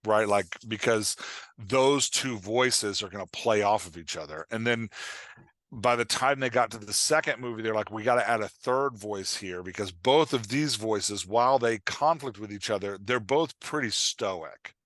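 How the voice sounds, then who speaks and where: A man talking as a coach and podcast guest, explaining things in an informal, animated way with acted-out example remarks. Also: fairly low pitch, normal speed, dry recording. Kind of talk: casual explanatory podcast interview.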